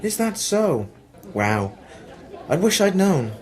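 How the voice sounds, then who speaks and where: A man's voice: high-pitched, very animated, takes it slowly, some chatter behind, exaggerated voice. A man playing a sarcastic, defiant newspaper reporter from the 1940s in an amateur radio play, dry and sardonic.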